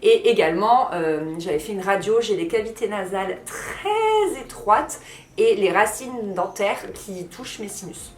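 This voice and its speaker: A woman in her thirties talks casually to the camera, her everyday voice sitting at an ordinary pitch. She rambles in a chatty way, full of fillers and quick self-corrections. The room around her rings with a roomy echo. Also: lively intonation, slight background noise. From France. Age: 30s. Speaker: lifestyle vlogger. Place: house under renovation, indoors.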